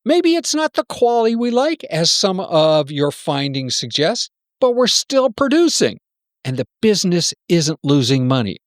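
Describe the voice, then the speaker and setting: Give the part of a guarded software executive to a man acting it out. He is blunt and persuasive, always cautioning, with pitch that moves around a lot. The radio-play dialogue is recorded close and dry. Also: sing-song, normal speed, dry recording. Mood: dismissive. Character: wary, self-protective male senior software executive.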